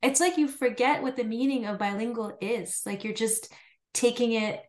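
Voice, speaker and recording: A woman's voice: a little high, moderate pace. A woman co-hosting a podcast who talks casually and thoughtfully, often dropping in "like". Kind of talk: podcast conversation.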